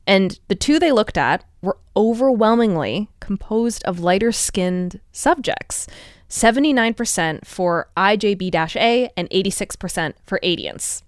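Woman, mid pitch, podcast reporter, conversational explainer, dry sound. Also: lively intonation, unhurried, dry recording.

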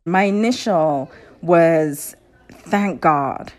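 A woman reflects personally in an interview. She speaks very slowly in short, emphatic phrases, with a somewhat low voice. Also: darkish tone. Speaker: activist interviewee.

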